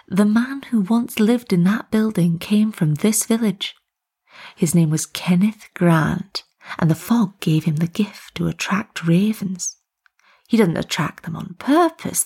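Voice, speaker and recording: Woman voicing an eccentric, motherly village tea lady, husky. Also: medium pitch, heavily acted. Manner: chirpy, motherly, gently questioning. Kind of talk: acted storybook dialogue.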